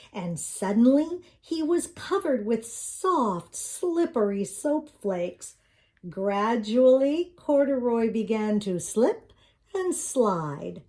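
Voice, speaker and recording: Mellow, slow-reading woman storyteller. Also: fairly high pitch, sing-song. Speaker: storybook reader. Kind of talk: children's storybook narration.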